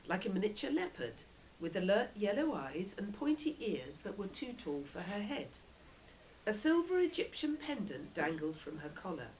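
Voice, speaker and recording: A woman, a teacher reading a story aloud and putting questions to her class in an expressive, encouraging way. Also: mid-range voice. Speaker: primary school teacher. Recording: dull lo-fi recording with background noise.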